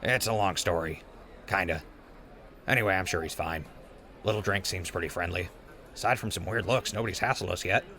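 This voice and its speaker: A man's voice: low voice, a little fast, pauses often, bright, forceful tone, slight background noise. A man playing a friendly, wisecracking ghoul wastelander in an audio drama, with a casual, joking manner.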